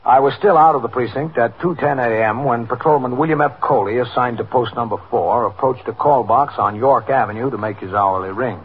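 An actor playing an authoritative, matter-of-fact police captain narrating a scripted 1950s radio drama. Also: male voice, mid-range voice, a little fast, hardly any pauses, lightly acted. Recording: tinny, noisy 1950s radio broadcast.

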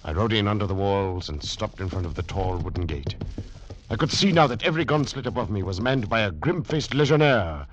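An actor playing a grave, commanding French Foreign Legion major in old radio drama style, with clipped dramatic delivery. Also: male voice, on the low side, animated, fairly quick, slight background noise, lightly acted. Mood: grave, tense. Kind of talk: 1940s-50s radio drama acting. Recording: dull old radio recording with music.

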